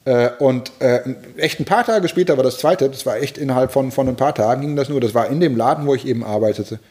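A man in his forties from Germany, a pastor, thoughtfully telling personal stories, halting with many restarts and repeated words. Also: mid-range voice, darkish tone. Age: 40s. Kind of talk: reflective personal storytelling.

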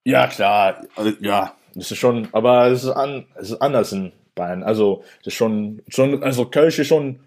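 A young man from New York with an American accent, his voice sitting fairly low. He talks in German, his second language, halting and restarting often and filling gaps with "äh". It comes across as relaxed interview chat. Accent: American English. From New York, USA. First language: English. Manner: hesitant, many restarts and fillers. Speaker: interview guest.

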